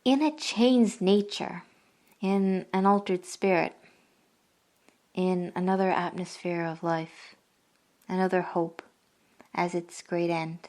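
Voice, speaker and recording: An actress playing a sorrowful yet resolute young woman in a classic radio drama, speaking gravely and deliberately. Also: medium pitch, lightly acted.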